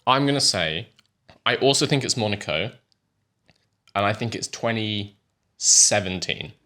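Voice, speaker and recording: A man with a bright, forceful voice and very lively pitch movement. He banters casually as a quiz contestant, teasing and joking as he goes. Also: measured pace. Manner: jokey, teasing.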